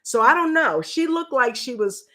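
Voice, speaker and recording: A woman casually reviewing a reality show on a livestream, slangy and animated, acting out quotes in a mocking tone. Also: high-pitched, moderate pace. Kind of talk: casual reaction livestream chat.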